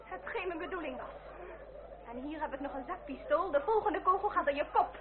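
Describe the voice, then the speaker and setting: A brave, loyal young noblewoman speaks in a very high, urgent, theatrical voice. It sounds like vintage radio drama, narrow and tinny, with a loud crowd behind her. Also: animated, brisk. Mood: commanding, defiant. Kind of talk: old radio drama acting. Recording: tinny old radio recording, loud noise.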